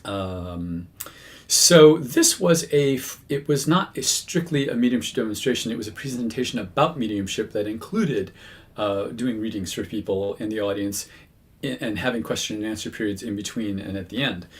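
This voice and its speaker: A man's voice: fairly low pitch, normal speed. A man who works as a medium, talking casually to his viewers with many fillers and self-corrections. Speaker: medium, channel host. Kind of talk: casual talk to camera.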